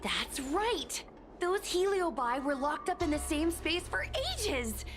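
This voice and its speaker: A woman voicing an upbeat, excitable young woman who streams online, chatty and eager in a game cutscene. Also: sits high, slow pace. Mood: excited. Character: bubbly young woman street performer turned online streamer. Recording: game cutscene with music behind.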